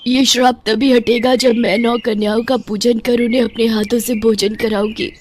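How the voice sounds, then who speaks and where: A woman's voice: a little high, little pitch movement, moderate pace, a little hiss or hum, close to an everyday voice. A woman voicing a kindly, reassuring witch who was once a princess, in a cartoon bedtime story. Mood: sorrowful, earnest. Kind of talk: Hindi animated bedtime story acting. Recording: music behind the voice.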